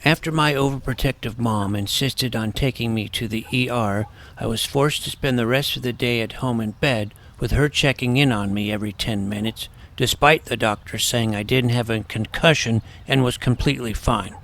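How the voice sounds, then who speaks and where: An actor playing a nerdy, earnest junior high schoolboy in a comedic audio drama, talking in a precise, wordy way. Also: male voice, normal speed, few pauses, a bit bright, close to an everyday voice. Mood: earnest narrating. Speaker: narrator and lead character.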